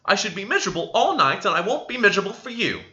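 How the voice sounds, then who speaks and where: A man's voice: high voice, very animated, quick pace, bright, forceful tone, highs cut off, voice pushed up, exaggerated voice. A man voicing a willful, spiteful teenage girl in a storybook reading, with imperious exclamations. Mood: demanding.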